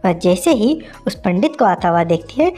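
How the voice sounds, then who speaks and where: A woman's voice: mid-range voice, very animated, normal speed, mellow. Woman telling a kids' story over music. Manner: simple, conversational storytelling. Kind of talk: children's story narration.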